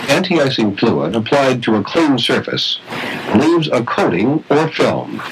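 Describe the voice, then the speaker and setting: A man reading scripted instructional narration for a training film in a formal, measured, precise way. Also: mid-range voice, big pitch swings. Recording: noisy vintage training film soundtrack.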